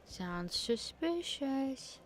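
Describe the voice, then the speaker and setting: A woman's voice: sits high, very animated, drawn-out words. A woman chatting casually and bluntly as she streams a game, thinking aloud and swearing freely. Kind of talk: casual live gameplay reactions and chat. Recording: faint background noise, keyboard clicks.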